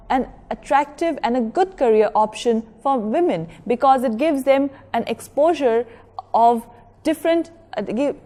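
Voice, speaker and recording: A woman giving an educational lecture in a measured, explanatory way, stressing key words. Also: a little high, dark tone. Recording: long reverberant echo of a hall.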